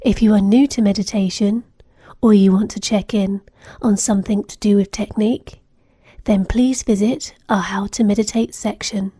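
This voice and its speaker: A woman narrating a guided meditation in a soothing, gentle manner, giving measured instructions with soft phrase endings. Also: medium pitch, fairly even intonation, breathy edge, no echo, clean studio sound.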